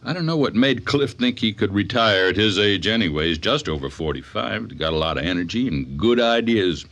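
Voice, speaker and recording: A man's voice: lowish voice, expressive, a little fast, a bit bright. A man playing a genial middle-aged father and business owner in a radio drama. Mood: upbeat.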